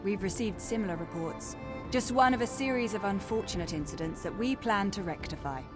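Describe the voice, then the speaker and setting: An actress playing a gracious, earnest orc high priestess in a video game, speaking in a formal, stately, welcoming manner. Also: female voice, a little fast, over music, natural voice. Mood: earnest, concerned. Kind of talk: video game character acting.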